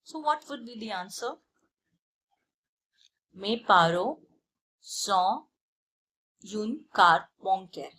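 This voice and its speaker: A woman teaching a beginner language lesson, deliberately repeating phrases for learners. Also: medium pitch, lively intonation, bright, forceful tone. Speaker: language teacher and channel host. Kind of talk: beginner French lesson, explanatory.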